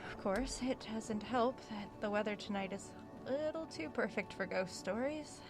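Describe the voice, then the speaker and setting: A woman's voice: medium pitch, normal speed, music in the background, lightly acted. An actress playing an uneasy woman writer investigating a haunted house, thinking aloud hesitantly as in a diary in a horror audio drama. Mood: uneasy, reflective.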